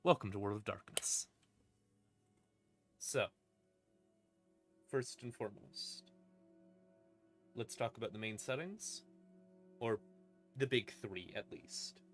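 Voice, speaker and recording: A man casually explaining game lore on a stream in an irreverent, sweary, rambling way, with dramatic pauses for emphasis. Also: on the low side. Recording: music playing in the background. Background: creepy ambient music.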